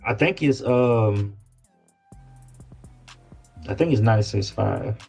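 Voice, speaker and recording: A man's voice: fairly low pitch, expressive, takes it slowly, creaky, a little mellow, soft background music. A man chatting casually as an interview guest, informal and often repeating words as he searches for phrasing. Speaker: guest, independent rapper. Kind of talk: casual chat.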